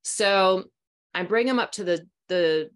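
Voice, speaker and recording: A woman in her forties hosting a video call from a small room at home, telling stories in an animated, chatty way and acting out voices along the way. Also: medium pitch, slow pace. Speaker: host, sound healing practitioner. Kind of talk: casual storytelling on a video call. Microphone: video call webcam mic.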